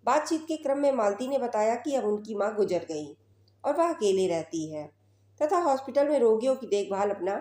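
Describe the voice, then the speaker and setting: A woman's voice: fairly high pitch, big pitch swings, normal speed, mellow. A woman narrating a scripted story as a storyteller. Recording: roomy, echoing voice recording.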